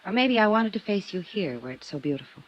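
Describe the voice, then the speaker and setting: An actress playing a lonely, troubled wealthy young woman in a 1950s radio drama, wistful and evasive, sharp when pressed. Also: mid-range voice, big pitch swings, fast talker. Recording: muffled old radio broadcast.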